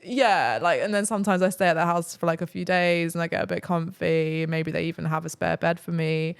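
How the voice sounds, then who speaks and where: A woman's voice: lowish voice, fairly even intonation, brisk, creaky, smooth. A young woman from England chatting casually as a podcast guest, rambling with lots of fillers and easy laughter. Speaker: travel vlogger guest.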